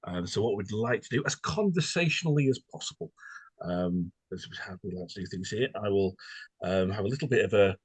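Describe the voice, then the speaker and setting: A man's voice: on the low side, animated, a little fast, husky, no room sound. A man hosting a conversational podcast interview, speaking in a wordy, playfully formal and polite way.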